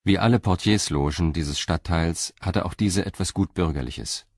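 A man narrating a scripted crime story in a measured, matter-of-fact manner. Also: low voice, a little mellow, dry recording, studio quality.

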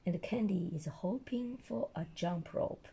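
A woman storyteller with a hoarse voice reading a children's picture book aloud and explaining it simply to a child. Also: mid-range voice, a little slow, dark tone. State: hoarse, losing her voice.

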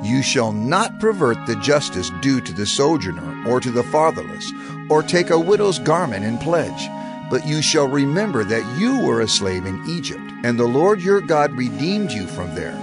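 A solemn male lawgiver addresses his people in an audio scripture drama. His delivery is declamatory and authoritative, with lively, sweeping intonation. Music plays clearly behind his deliberate words. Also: medium pitch. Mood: solemn, commanding. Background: background music.

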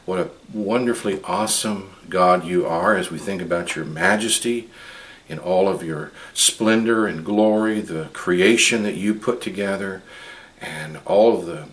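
Deep-voiced man leading a home prayer. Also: fairly bright tone. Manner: earnest, repeats words when moved. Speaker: church speaker leading prayer. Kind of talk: spoken prayer and church greeting. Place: living room at home.

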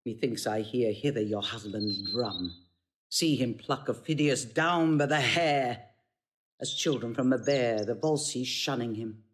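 A woman's voice: a bass voice, a little slow, slight background noise. An actress playing a fierce, proud older Roman mother in a Shakespearean audio drama, imperious and declamatory. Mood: fierce, scornful. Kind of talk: classical Shakespearean stage acting.

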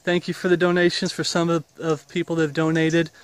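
A man's voice: on the high side, little pitch movement, brisk. Man talking while walking a wooded trail. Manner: casual, rambling, earnest preaching tone. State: getting over an illness. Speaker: ministry leader. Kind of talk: walk-and-talk video update. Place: outdoors on a wooded path. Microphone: handheld camera at arm's length.

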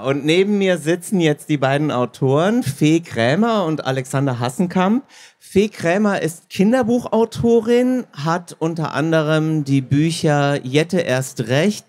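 Higher-pitched man hosting a stage interview. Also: a little mellow. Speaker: host, audiobook producer. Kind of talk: live stage interview moderation. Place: stage at a book fair.

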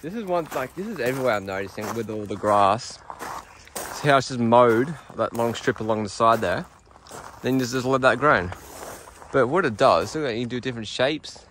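A man's voice: mid-range voice, expressive, moderate pace, a bit bright. Young man, from Australia, casual vlog, impressed, outdoors, phone at arm's length, noisy background. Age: young adult. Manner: chatty, easily impressed, thinking aloud with restarts. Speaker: vlogger. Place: outdoors in large formal gardens. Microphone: phone camera at arm's length.

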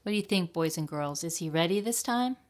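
A woman reading a children's picture book aloud in a deliberate, expressive storytime style. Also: fairly low pitch. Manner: deliberate, expressive, with playful sound words. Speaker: storytime reader at a library.

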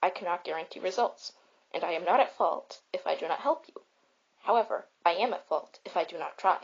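A woman playing a caring but blunt, self-important robot in a comfort roleplay, speaking in a deliberate, declamatory way. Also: lowish voice, breathy edge. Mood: earnest, grandiose. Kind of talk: comfort roleplay monologue with storytelling. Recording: slightly dull, raw home recording.

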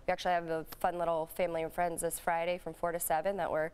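A woman's voice: lowish voice, level tone, darkish tone, clear, resonant tone. A woman in her thirties, guest on a live TV morning show, talking casually about her drink brand in a studio. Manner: casual, breezy, quick to joke. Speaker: drink company founder, guest. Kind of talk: TV studio interview.

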